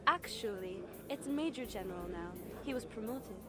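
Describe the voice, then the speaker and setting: A woman's voice: fairly high pitch, expressive, moderate pace, lightly acted. A woman playing a polite, earnest young military analyst who explains things carefully in a radio drama. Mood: earnest, informative. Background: loud music.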